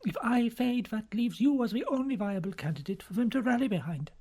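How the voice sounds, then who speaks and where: An anxious, learned older cardinal played by a male narrator confides earnestly in an audiobook drama. The voice is lifted high above a normal speaking register, with a breathy edge that sometimes drops toward a hush. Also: animated, fairly quick, exaggerated voice. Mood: urgent, persuasive. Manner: fretful, earnest, hushed at times. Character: anxious, confiding senior Italian cardinal, an intellectual older man.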